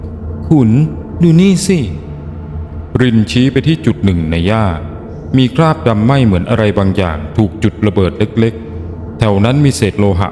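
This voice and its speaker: A man's voice: fairly low pitch, moderate pace, a little mellow, slight background noise. A man narrating a scripted, dramatic audio story. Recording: long reverb, music bed. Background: faint music.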